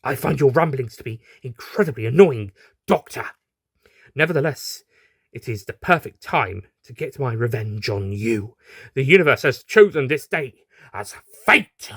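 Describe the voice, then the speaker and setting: A man voicing a large, vengeful horse villain in an audio story, with a booming, pompous, snarling delivery. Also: animated, normal speed, a bit bright, husky, dry recording, clean studio sound. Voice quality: deep, booming.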